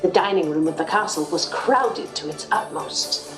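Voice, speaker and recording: A woman's voice: fairly low pitch, expressive, moderate pace, natural voice. A woman playing a devoted assistant who narrates a detective tale in formal radio drama storytelling. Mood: admiring, intrigued. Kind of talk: scripted first-person radio drama narration. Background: music.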